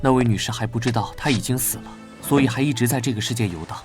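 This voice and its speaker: An actor playing a gentle young knight investigator in an animated drama, polite and considerate. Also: male voice, medium pitch, quick pace, music in the background, close to an everyday voice. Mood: serious. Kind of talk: Chinese animated drama voice acting.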